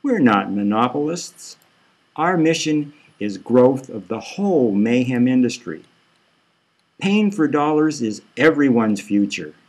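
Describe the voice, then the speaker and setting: A man's voice: medium pitch, lively intonation, measured pace. A man voicing a smug masked extremist villain in an audiobook, pompous and speechifying.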